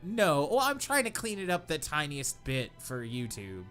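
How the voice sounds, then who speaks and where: A man's voice: fairly high pitch, huge pitch swings, moderate pace, a bit bright, soft background music. A young man hosting a comedy podcast, reading a story aloud in a mock-dramatic way and cracking up. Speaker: podcast host, reader.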